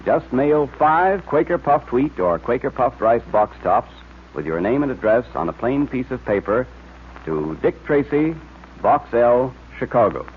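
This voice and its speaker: A man's voice: medium pitch, sing-song, a little slow, breathy edge. An American man announcing in a polished, emphatic 1940s radio advertising and narration style. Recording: scratchy old radio broadcast, narrow band, hiss.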